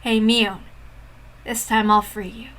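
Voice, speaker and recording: A woman voicing a sinister, mocking tempter who speaks in a soft, creeping, taunting way. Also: a little high, flat delivery, takes it slowly, very noisy. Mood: menacing.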